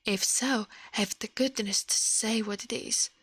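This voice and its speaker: A woman voicing an elegant, self-assured shadow gentleman from a storybook, formal and boastful, in a soft breathy voice. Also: on the high side, steady tone, measured pace, no room sound.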